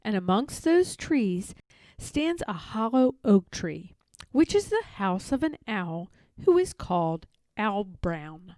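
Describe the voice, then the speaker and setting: A woman narrating a children's storybook aloud in an expressive, measured read-aloud manner. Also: mid-range voice, no room sound. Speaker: storybook reader.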